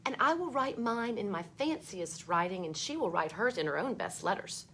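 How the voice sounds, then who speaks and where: Expressive woman storyteller, noisy ambient recording. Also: medium pitch, moderate pace. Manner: expressive, speaking directly to young listeners. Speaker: storytime host reading a picture book aloud. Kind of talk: children's read-aloud with chatty asides.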